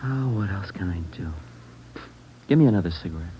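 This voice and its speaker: An actor playing a nervous young gang leader turned informant in a mid-century radio crime drama, talking in uneasy slang. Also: male voice, deep voice, expressive, a little fast. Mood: resigned, wary. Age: young adult. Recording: dull, lightly noisy old radio broadcast.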